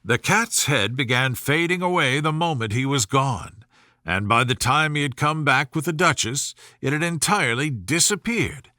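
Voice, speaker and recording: A man's voice: medium pitch, expressive, moderate pace, bright, forceful tone, no room sound, clean studio sound. A man reading a children's story as a soothing, scripted bedtime narration.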